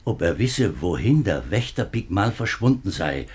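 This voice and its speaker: A man's voice: sits low, big pitch swings, moderate pace, a little mellow, breathy edge, exaggerated voice. A man playing a nervous, worn-down middle-aged minister in a 1950s German radio drama, speaking formally but hesitantly. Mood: anxious, weary. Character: nervous, worn-down government minister, husband and father.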